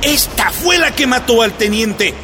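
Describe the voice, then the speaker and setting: Very high-pitched aggressive soldier. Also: male voice, animated, a little slow, music in the background. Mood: accusing. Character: aggressive soldier, shouting accuser, obedient to his colonel. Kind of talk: radio drama acting.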